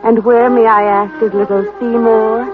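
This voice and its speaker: A woman's voice: fairly high pitch, flat delivery, moderate pace, lightly acted. A woman playing a detective's sardonic, knowing sweetheart, arch and teasing in old radio drama style. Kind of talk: 1940s-50s radio drama acting. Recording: tinny old radio recording, music behind.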